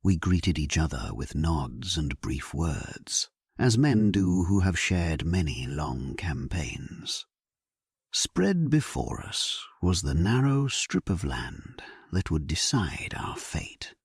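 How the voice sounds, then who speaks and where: A low-voiced man tells a bedtime historical tale as a grave, resolute king looking back on a battle morning. His delivery is very slow and solemn, with a soft breathy grain. The sound is close and dry. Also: very animated, dry recording. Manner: solemn, measured, hushed storytelling. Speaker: audio story narrator. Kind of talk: first-person scripted narration for a sleep story.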